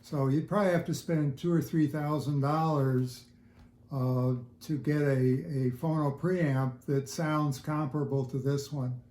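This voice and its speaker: Male hobbyist, seventies, relaxed and slightly higher-pitched voice, halting interview delivery, recorded in an echoey home room. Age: 70s. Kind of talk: casual interview answers.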